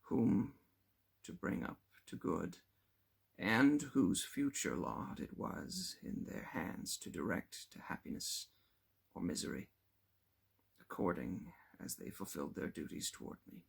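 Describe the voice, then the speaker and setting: Deep, gravelly man reading aloud. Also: sing-song, measured pace. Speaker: audiobook reader. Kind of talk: scripted narration of a classic novel.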